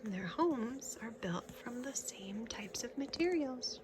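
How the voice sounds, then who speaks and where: Woman reading a kids book out loud, slow n instructive, points stuff out, noisy background. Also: on the high side, lively intonation. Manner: instructive, pausing to point out pictures. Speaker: children's picture book reader. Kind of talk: read-aloud for young children with casual asides and questions.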